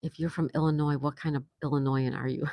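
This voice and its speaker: A woman's voice: low voice, steady tone, fast, mellow, no room sound. An older woman from New York hosting a casual livestream from an outdoor patio, chatting and reading viewers' messages aloud with frequent laughs. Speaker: livestream host. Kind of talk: casual live chat reading comments.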